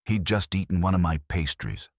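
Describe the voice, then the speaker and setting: Man voicing an anxious, deep-toned bakery woman. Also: expressive, normal speed, dry recording, clean studio sound. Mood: worried, grave. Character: anxious, determined small woman bakery owner.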